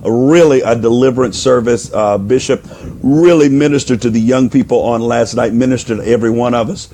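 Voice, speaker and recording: A man speaking as a life coach and minister, preaching on a live stream into a microphone. His voice is hoarse and nearly gone, and he repeats phrases as he urges listeners on. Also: mid-range voice, fairly quick, mellow, slight background noise. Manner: repeats phrases for emphasis, urging and exhorting. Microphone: microphone, put on partway through.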